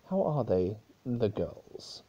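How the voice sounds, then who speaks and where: A man's voice: fairly low pitch, big pitch swings, takes it slowly, mellow, muffled recording, full character voice. A man voicing a weary, grieving, short-tempered pony princess in a solemn dramatic story reading. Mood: worried. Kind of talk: dramatic fan-fiction reading.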